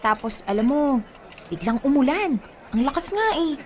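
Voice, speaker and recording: A woman's voice: sits high, sing-song, normal speed, noisy background. A woman acting a bubbly, affectionate, gullible young adult girlfriend in a radio drama, with a Filipino accent and a chatty manner. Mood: chatty, earnest.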